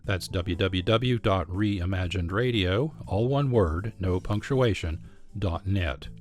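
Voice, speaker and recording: An American man speaks as a radio host in a fairly low voice. He reads scripted narration in measured, clearly phrased sentences, with faint music behind him.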